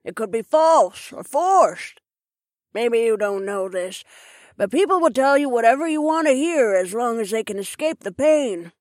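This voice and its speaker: A man voicing a sly, mocking old crone, a former torturer, in a high put-on voice with a taunting drawl. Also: lively intonation, unhurried, no room sound, clean studio sound. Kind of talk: audiobook character voice.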